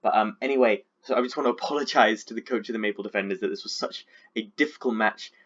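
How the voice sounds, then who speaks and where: A man's voice: lowish voice, fast talker, fairly bright tone, muffled recording. Chatty sick man with sore throat. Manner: chatty, filler-heavy, rambling asides. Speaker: competitive Pokemon league coach. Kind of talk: casual team breakdown commentary.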